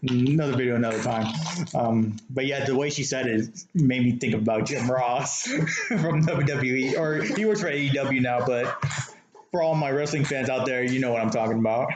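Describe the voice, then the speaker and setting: A man with an American accent recounts stories casually, feeling his way through them in a middle-pitched voice. He sounds like a podcast co-host recorded in a roomy space with audible noise. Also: moderate pace, highs cut off. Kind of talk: casual anecdotal storytelling. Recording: roomy echo with background noise.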